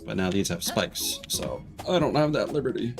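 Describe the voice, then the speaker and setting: A man's voice: lowish voice, lively intonation, normal speed, gravelly. A man doing casual live commentary as he streams a video game, musing to himself and often trailing off mid-thought. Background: game music.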